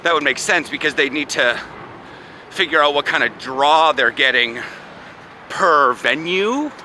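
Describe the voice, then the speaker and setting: A man's voice: fairly high pitch, expressive, unhurried, sharp tone. A young man from the United States chatting casually and rambling for a vlog, laughing often, recorded outdoors on city streets and in a car with a handheld camera. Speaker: vlogger. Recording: clear traffic noise outdoors.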